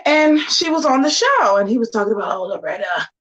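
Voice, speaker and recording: A woman's voice: fairly high pitch, very animated, a little fast, a bit bright, highs cut off. A woman in her forties chatting casually to her viewers while getting ready, sassy and full of slangy asides. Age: 40s. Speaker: vlogger. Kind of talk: casual get-ready-with-me chat.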